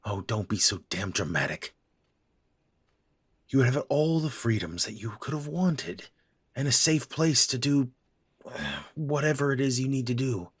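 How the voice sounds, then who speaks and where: A man voicing a gruff, stubborn middle-aged father with a raspy voice, blunt and scolding, trailing into hesitant pauses. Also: medium pitch, lively intonation, no room sound. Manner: blunt, scolding, softening into hesitant halting speech. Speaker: voice actor. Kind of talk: audio drama acting.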